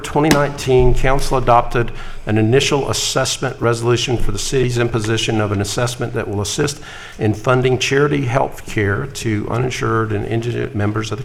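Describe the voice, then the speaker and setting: A man chairing a city council meeting, running through motions and votes in a brisk, procedural way with light jokes. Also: mid-range voice, vocal fry.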